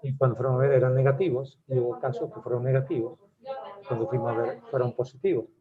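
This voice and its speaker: A man's voice: medium pitch, level tone, quick pace, a crowd in the background. Venezuelan man on a tinny call line. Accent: Venezuelan Spanish. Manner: hesitant, many fillers and restarts. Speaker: webinar attendee asking a question. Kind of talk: casual comment in a Q&A. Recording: tinny phone-like call audio.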